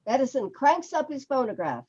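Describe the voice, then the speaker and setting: A woman narrates the stage directions of a play from a script. Her voice sits a little high, and the audio is somewhat dull, like a video call. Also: very animated, normal speed, darkish tone, slightly rough.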